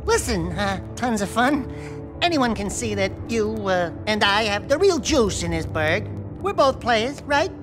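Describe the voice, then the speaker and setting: A man's voice: high voice, very animated, over music. An actor voicing a wisecracking furry male animal sidekick in a video game, who talks in a brash, boastful way. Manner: brash, boastful, quick-talking. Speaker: game character.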